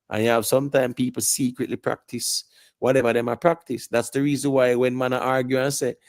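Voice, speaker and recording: A man's voice: mid-range voice, gravelly, a little mellow, dry recording. A man with a Jamaican accent on a live panel discussion, lecturing at length and often checking that his listener follows. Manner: lecturing, repeats "you get me" asides.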